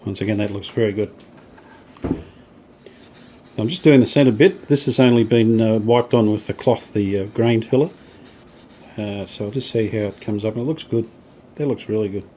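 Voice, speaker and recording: A man with a fairly low, soft-edged, mellow voice narrates his workshop job casually as he works. He thinks aloud in an understated, slightly tentative way. There is noticeable background noise, with rubbing and sanding sounds. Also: moderate pace, pauses often. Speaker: host of a hobby radio restoration channel. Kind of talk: casual hands-on workshop narration while working. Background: rubbing and sanding while working.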